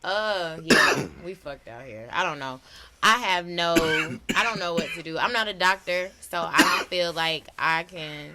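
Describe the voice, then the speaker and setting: A thirty-year-old woman from hosting a casual podcast chat, loud and laughing. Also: on the low side, unhurried. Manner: loud, laughing, slangy banter. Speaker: podcast host.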